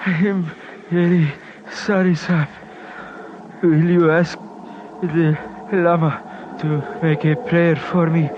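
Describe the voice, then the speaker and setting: An actor playing a badly injured, deferential Himalayan guide, halting and weak with pain, in an old radio drama. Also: male voice, on the high side, little pitch movement. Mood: fading, dying. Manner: halting, broken phrases, formal pleading. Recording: muffled, noisy old radio recording.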